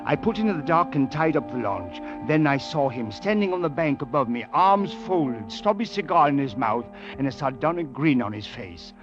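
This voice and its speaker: A troubled, fatalistic commissioner, a little high in pitch with dramatic swings. He broods intensely in old radio style. The muffled vintage recording has music behind it. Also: big pitch swings, normal speed, few pauses. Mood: brooding, awed. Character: troubled district commissioner, fascinated by danger, fatalistic. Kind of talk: 1940s-50s radio drama narration and acting.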